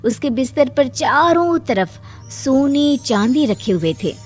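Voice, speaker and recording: A woman's voice: high-pitched, lively intonation, takes it slowly, darkish tone. A woman reading scripted storybook narration for a cartoon moral tale. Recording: music behind the voice.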